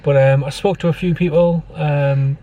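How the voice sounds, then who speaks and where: A man's voice: fairly high pitch, steady tone, normal speed, warm tone. Slightly distant, muted-sounding man chatting. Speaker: vlogger's partner. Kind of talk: casual chat. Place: inside a car. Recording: slightly distant, faint background noise.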